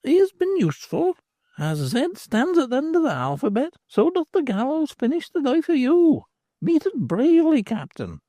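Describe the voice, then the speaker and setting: A reader voicing an old crone tavern hostess who gloats and gives ominous superstitious warnings in a shrill, cackling voice. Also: female voice, huge pitch swings, moderate pace, warm tone, no echo, clean studio sound. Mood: gloating. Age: older. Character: old crone innkeeper, sly and grimly fatalistic.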